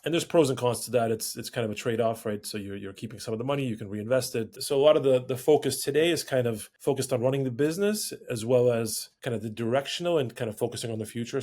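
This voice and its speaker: A man talks quickly about his business, his sentences tumbling out with restarts and little checks like "right?". He is a guest answering questions, and his pitch sits in an ordinary middle range. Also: darkish tone. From Ukraine. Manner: rapid, rambling, peppers speech with "kind of" and "right?". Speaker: real estate investor guest. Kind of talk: casual interview answers.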